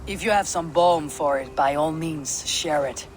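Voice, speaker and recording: Man acting a terse, higher-voiced Viking leader. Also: a little hiss or hum, close to an everyday voice. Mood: calm, firm. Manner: terse, measured, commanding. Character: level-headed, stern Viking warrior leader. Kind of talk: video game voice acting.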